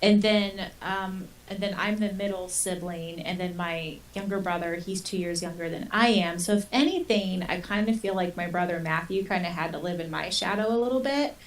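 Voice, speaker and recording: A woman's voice: fairly low pitch, moderate pace, few pauses, slight background noise. A woman co-hosting a podcast, chatting informally and rambling through anecdotes, recorded at a distance from the microphone. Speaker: podcast co-host. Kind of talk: casual conversational chat. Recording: distant from the mic.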